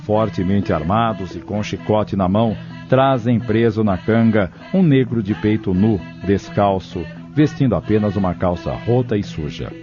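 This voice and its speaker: A man's voice: on the low side, normal speed, hardly any pauses, music in the background. A man narrating an audio drama from a script. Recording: dull lo-fi audio drama recording.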